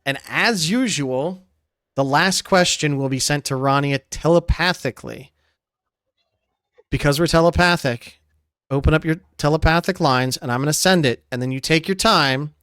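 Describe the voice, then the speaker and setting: Joking podcast host guy, smooth clear voice, dry close sound. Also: on the high side, moderate pace, fairly bright tone, no room sound. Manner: jokey, laughs easily. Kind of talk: casual interview chat.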